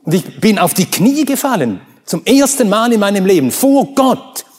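Male preacher, native Swedish, emphatic sermon delivery with soaring high exclamations, fairly high voice, long hall reverb. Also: big pitch swings, moderate pace, a little mellow, slightly rough. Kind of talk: sermon with Bible reading.

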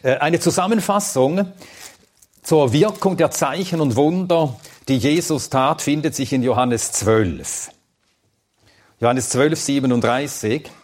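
A man's voice: fairly high pitch, a little slow, a little mellow. Swedish-born man teaching a Bible class. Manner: thoughtful, plain-spoken, with hesitant fillers. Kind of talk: lecture with audience questions.

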